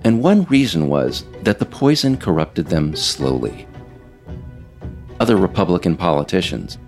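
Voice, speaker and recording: A man hosting a podcast series, reading scripted narration in a measured, emphatic, explanatory way. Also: deep voice, gravelly, a little mellow. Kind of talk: scripted narration, audio essay read aloud.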